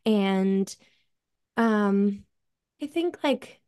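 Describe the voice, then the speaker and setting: A woman's voice: medium pitch, expressive, very slow, a little mellow, clean tone. Chatty upstate New York woman guest. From upstate New York, USA. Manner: chatty, many fillers like "like" and "um". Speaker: podcast guest. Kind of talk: casual interview chat.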